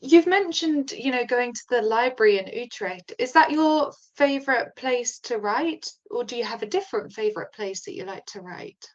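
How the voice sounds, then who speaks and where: A woman's voice: on the high side, animated, normal speed, hardly any pauses, dull audio. A woman hosting an interview, asking questions in an enthusiastic, encouraging way. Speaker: interviewer host.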